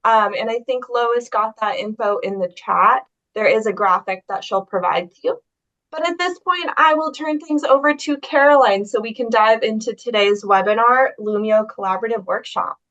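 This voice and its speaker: A woman moderating a webinar, reading announcements and guiding participants smoothly. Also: on the high side, moderate pace, a bit bright. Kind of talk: scripted announcements and guidance. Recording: dull lo-fi webinar audio.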